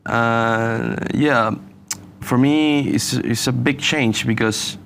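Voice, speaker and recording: A young man from Venezuela, a university student answering interview questions in halting English and saying "you know" often. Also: medium pitch, gravelly, a bit bright, a little hiss or hum. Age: young adult. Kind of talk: casual interview.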